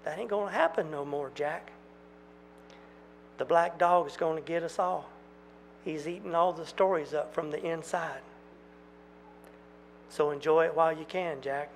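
A man voicing twin country girls, speaking quietly and accusingly. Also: on the high side, a little slow, long pauses, a little hiss or hum. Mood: resigned. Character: eerie country girls, twin sisters, calm and reproachful.

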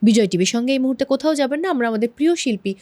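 A woman's voice: sits high, lively intonation, rapid-fire, mellow, no room sound. A woman with a Bangladeshi accent presenting a TV interview politely and appreciatively as the host. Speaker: TV talk show host.

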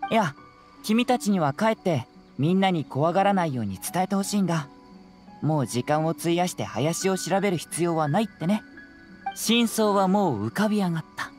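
Composed young detective. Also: male voice, high-pitched, expressive, darkish tone. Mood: reassuring. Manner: measured, explanatory, self-assured. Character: youthful male detective, composed, analytical and confident. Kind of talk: anime game voice acting. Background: faint background music.

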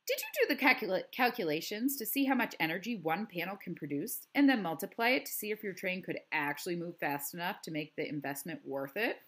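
A woman's voice: on the low side, big pitch swings, brisk, few pauses, fairly bright tone. A woman voicing a serious, clever schoolboy in a storybook, with a dry, challenging manner.

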